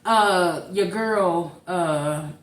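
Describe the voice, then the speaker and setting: A woman's voice: lowish voice, drawn-out words. A woman in her forties with a St. Louis accent, co-hosting a podcast in a chatty, rambling way. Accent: St. Louis, Missouri. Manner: chatty, rambling, laughs easily. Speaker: podcast co-host. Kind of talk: casual podcast chat. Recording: echoey room, off-mic, faint noise.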